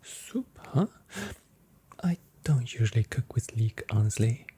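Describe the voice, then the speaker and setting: A very breathy, mellow whisper for a hesitant supermarket customer, acted out by an ASMR performer. The customer is easily persuaded and speaks softly in a role play. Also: medium pitch, animated, unhurried. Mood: curious, unsure.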